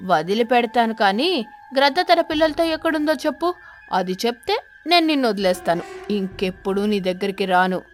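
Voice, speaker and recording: A woman voicing a vengeful female ghost sparrow in an animated children's tale, threatening and accusing as she interrogates. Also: fairly high pitch, moderate pace, faint music behind, lightly acted. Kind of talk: animated children's story character voice.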